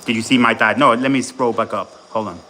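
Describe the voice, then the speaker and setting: A man who was born in Tennessee and grew up in Ireland talks loosely and chattily, a bodybuilding coach answering his live chat between sets. His voice sits at an ordinary middle pitch. Faint gym noise runs behind him. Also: fairly even intonation, a little fast, bright, forceful tone. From born in Tennessee, raised in Ireland. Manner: chatty, rambling, answers chat while training.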